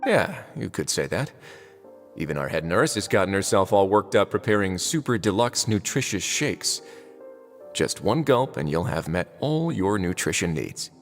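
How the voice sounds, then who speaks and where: An actor playing a wry, unhurried man who runs a prison fortress in a video game, with a dry, teasing delivery. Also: low voice, sing-song. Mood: wry, relaxed. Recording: long reverb, music underneath.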